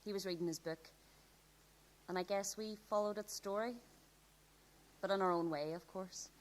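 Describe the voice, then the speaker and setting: A woman's voice: mid-range voice, steady tone, normal speed, lightly acted. A woman acting an awkward, eager schoolgirl in a radio play, hesitant and self-conscious. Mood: reflective. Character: awkward, eager schoolgirl train passenger, reflective narrator. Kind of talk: radio drama monologue and dialogue.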